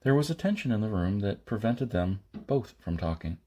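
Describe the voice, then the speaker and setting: A man reading a science fiction novel aloud as an amateur audiobook narrator, in short phrases with pauses and the odd stumble. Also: on the low side, lively intonation, dark tone. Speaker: audiobook reader.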